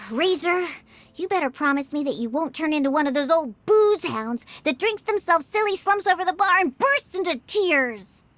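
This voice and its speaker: A woman's voice: high voice, lively intonation, normal speed, fairly bright tone. A woman voicing a bratty, indignant little cat girl in a video game, scolding dramatically. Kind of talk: video game character acting.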